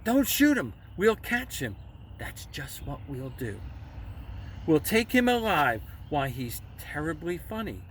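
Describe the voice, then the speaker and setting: A man voicing excitable, greedy hunters in a children's storybook, exclamatory and emphatic. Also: a little high, slow. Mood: excited, scheming. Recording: distant mic with faint background noise.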